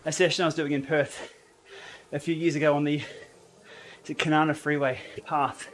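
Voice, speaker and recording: A man's voice: a little high, little pitch movement, moderate pace, fairly bright tone. A man hosting a live indoor cycling stream, chatting casually and answering viewer comments as he rides. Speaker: livestream host. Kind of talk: casual live chat while riding. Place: indoor training room.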